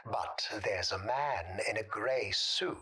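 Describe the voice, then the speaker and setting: A male actor plays an eerie, forgotten gentleman in an audio drama. His low voice is husky yet has a bright, pointed edge. He chooses his words formally and deliberately, polite on the surface and menacing underneath. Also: lively intonation, exaggerated voice. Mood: guarded, deliberate. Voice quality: husky, breathy. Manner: courteous, deliberate, formally worded. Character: polite, sinister man nobody can remember.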